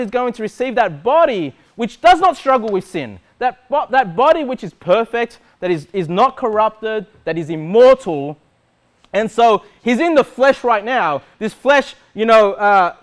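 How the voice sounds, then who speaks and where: Animated preaching man, high voice. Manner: animated preaching with wide gestures, rhetorical questions. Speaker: pastor. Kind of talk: sermon. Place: church hall with metal walls.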